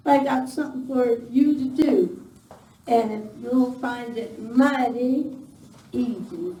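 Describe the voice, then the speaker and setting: A woman's voice: fairly high pitch, slow. A woman playing a bossy, coolly menacing outlaw gang leader in a live radio western. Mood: sly, in charge. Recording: distant mic, echoey hall, audience noise.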